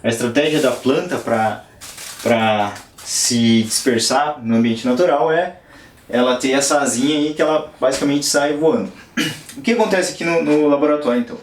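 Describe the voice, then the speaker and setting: A man's voice: medium pitch, normal speed, slight background noise. Hesitant Brazilian man explaining his work. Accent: Brazilian Portuguese. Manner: hesitant, many fillers and restarts, plain explaining tone. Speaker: nursery worker at a conservation NGO. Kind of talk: on-site interview, explaining the work. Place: plant nursery and lab on a rural property.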